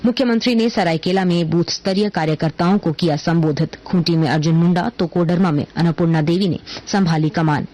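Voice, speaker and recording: A woman reading a scripted radio news bulletin in a formal, measured announcer's manner. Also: fairly low pitch, warm tone.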